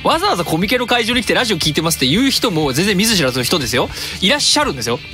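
Animated man hosting a casual web radio show. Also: high-pitched, very fast, faint music behind. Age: 30s. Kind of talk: casual comic chat and announcements.

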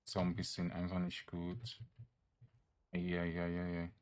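Slow-talking, low-voiced grumbling male gamer. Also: monotone, a little mellow. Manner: grumbling, exasperated, muttering to himself. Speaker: video game streamer. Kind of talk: casual let's-play commentary.

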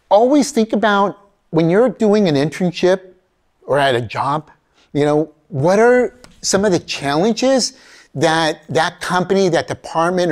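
A middle-aged man giving a motivational career keynote in a college lecture hall, talking straight to the audience as "folks," recorded from a camera out in the audience. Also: a little high. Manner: animated, addresses audience as folks, acts out quoted voices. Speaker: keynote speaker. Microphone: far camera in audience.

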